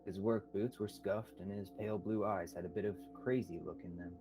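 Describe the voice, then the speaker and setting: A man's voice: on the low side, steady tone, a little fast, over music, low-quality recording. A young man reading scripted narration for a radio-style mystery drama. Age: young adult.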